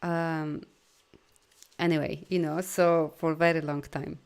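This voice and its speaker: A woman with a Polish accent, a native Polish speaker, leading a live video talk as a life coach. She speaks in an animated, encouraging way with loose grammar and many "you know"s. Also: low-pitched, clear, resonant tone. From Poland. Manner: soft, slow guidance during breathing. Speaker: life coach and energy healer.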